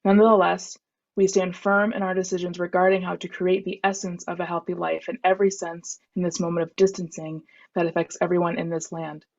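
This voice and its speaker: A woman's voice: lowish voice, level tone, a little fast, a little mellow. A woman reading a report aloud on a dull, muffled video call, hesitant over unfamiliar words. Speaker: reader in a group reading.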